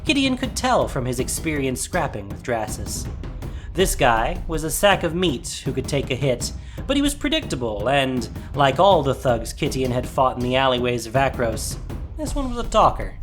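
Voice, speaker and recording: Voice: medium pitch, sing-song, normal speed. A narrator reading a scripted fantasy story in a dramatic audio drama style. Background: soft music.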